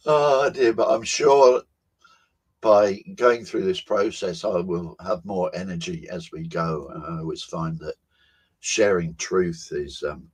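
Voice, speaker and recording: Slow, low husky older man. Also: fairly even intonation. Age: 70s. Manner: unhurried, musing, with soft laughs and pauses. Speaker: co-host. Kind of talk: reflective personal storytelling.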